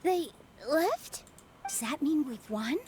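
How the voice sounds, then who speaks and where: A woman voicing a sweet, loyal young dancer girl in an anime-style video game, soft and expressive. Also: high-pitched, takes it slowly, a little hiss or hum. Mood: relieved. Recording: music behind the voice.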